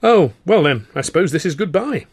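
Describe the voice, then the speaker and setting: A man's voice: a little high, animated, brisk, a little mellow, slightly rough, pitched above the performer's own voice. A man voicing a jovial, hearty young music-hall drinking pal in a story, in a jolly, exclamatory way. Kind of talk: audiobook character voice.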